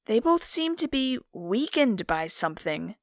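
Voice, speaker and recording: A woman's voice: a little high, very animated, unhurried, dry recording, clean studio sound. A woman playing an eager, caring knight in a fantasy audio drama. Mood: eager, worried.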